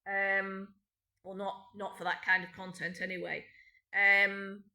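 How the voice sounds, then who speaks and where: A middle-aged woman with a British accent talks on and on in a clear, bright voice, aggrieved and rambling, stopping often to restart her sentences. Her voice bounces around an echoey room at home. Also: mid-range voice, flat delivery, unhurried. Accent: British English. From Britain. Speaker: vlogger. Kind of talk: unscripted personal monologue. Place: home indoors.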